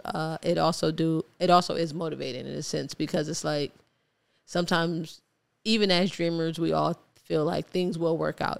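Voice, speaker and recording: A woman's voice: low voice, vocal fry, a little mellow, clear, resonant tone. An American woman from Ohio chatting casually as an interview guest, informal and reflective. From Ohio, United States. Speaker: podcast guest.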